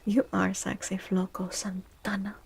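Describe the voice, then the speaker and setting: A woman streamer chatting softly and drowsily in a very chill ASMR style, often dropping into a whisper. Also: medium pitch, completely flat delivery, measured pace. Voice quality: soft, breathy. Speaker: live stream host. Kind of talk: soft-spoken ASMR chat with stream viewers.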